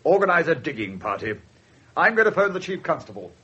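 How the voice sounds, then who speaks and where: A man playing a brisk, authoritative senior police officer in a radio drama, slightly husky. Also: fairly high pitch, very animated, pushed and bright, full character voice. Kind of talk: 1970s radio drama acting. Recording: dull old radio recording.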